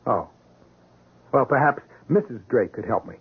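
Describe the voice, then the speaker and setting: Persistent police detective on tinny radio. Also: male voice, medium pitch, lively intonation, normal speed, breathy edge. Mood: polite, firm. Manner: questioning, polite, persistent. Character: patient, dogged male police sergeant detective. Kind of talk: 1970s radio drama acting. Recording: tinny, noisy old radio recording.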